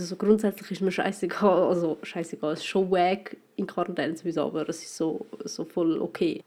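A young Swiss German woman talks on her own podcast from home, her voice hoarse and worn from a cold. She rambles loosely, doubling back and filling gaps with ums. Her tone sits dark and mellow. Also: medium pitch. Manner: rambling, loose, full of fillers and restarts. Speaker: podcast host. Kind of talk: casual solo podcast chat. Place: at home in quarantine.

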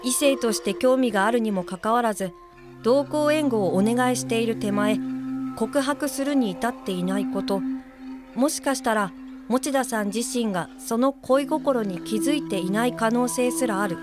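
An actress playing a polite, conscientious young female guide-helper in a radio drama, reading a letter aloud in a soft, measured voice. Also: on the high side, natural voice. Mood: earnest. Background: music under the voice.

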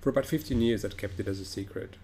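A middle-aged man from Morocco with a fairly low voice talks in a reflective interview. He pauses, restarts and fills gaps with "um" as he gathers his thoughts. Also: dark tone, a little hiss or hum. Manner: thoughtful, halting, many restarts and fillers. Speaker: opera director, guest.

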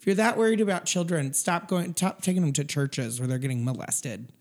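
A woman from Tennessee co-hosting a casual podcast, raspy from being sick, blunt and swearing freely with frequent laughs. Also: low voice, a little fast, darkish tone. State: raspy from a recent illness. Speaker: podcast co-host. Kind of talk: casual chat.

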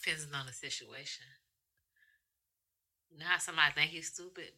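A man's voice: a little high, fairly quick, heavy background noise. A man with a light, boyish voice co-hosting a casual podcast, trading playful comebacks. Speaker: podcast co-host. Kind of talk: casual group chat.

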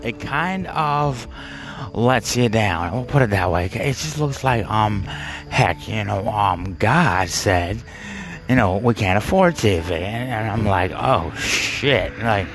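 A man's voice: on the low side, lively intonation, slow pace. A man telling a rambling personal story, full of fillers, restarts and bursts of laughter at himself. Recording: music playing behind.

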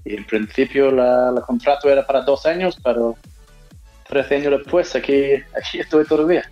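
An Australian man whose accent has picked up an Andalusian lilt, sits as a guest and answers at a medium pitch. He often trips and restarts his phrases while he searches for the words. Faint music plays underneath him. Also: moderate pace, muffled recording. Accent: Australian mixed with Andalusian. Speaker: interview guest, motorcyclist. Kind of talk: casual interview answers.

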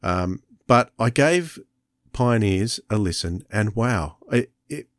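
Low-voiced man, halting, close dry sound. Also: animated, dry recording. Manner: appreciative, halting with repeated phrases. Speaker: podcast co-host. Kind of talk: casual review chat.

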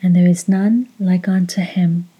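A woman leading a guided meditation, speaking softly and breathily in a measured, instructive way with long pauses. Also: fairly low pitch, steady tone, lo-fi sound. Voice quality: soft, breathy. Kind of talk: slow guided meditation with recitation.

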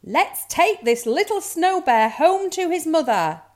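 Woman putting on a high-voiced, reassuring little girl. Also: animated, takes it slowly, warm tone. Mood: rousing.

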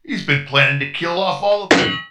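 A man's voice: a little high, a little fast, reverberant, loud music behind the voice, voice pushed up, natural voice. A man voicing a robot posing as a streetwise undercover detective with a blunt, commanding manner. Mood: tough.

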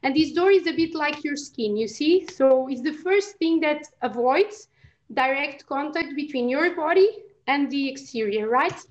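A woman's voice: sits high, animated, moderate pace, highs cut off. A young adult woman, a researcher, explaining immunology in casual chat, hesitant with many fillers and restarts. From Portugal. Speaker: PhD researcher guest.

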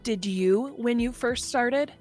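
A woman playing a defensive, defiant young mechanic in a tabletop game, explaining in a halting, insistent way. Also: fairly high pitch, darkish tone, faint music behind. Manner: halting, insistent, self-justifying.

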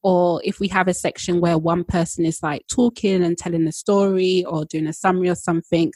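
A woman co-hosting a podcast in relaxed conversation, talking with a slightly husky, somewhat low voice. She chats freely and leans on filler words. The sound is dry and close. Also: brisk, dry recording. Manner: chatty, many fillers. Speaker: podcast co-host. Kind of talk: casual chat.

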